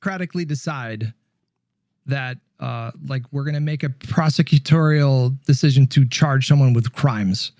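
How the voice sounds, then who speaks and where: A man in a conversational political debate, explaining his position in hesitant, halting phrases. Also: mid-range voice, darkish tone, dry recording, studio quality.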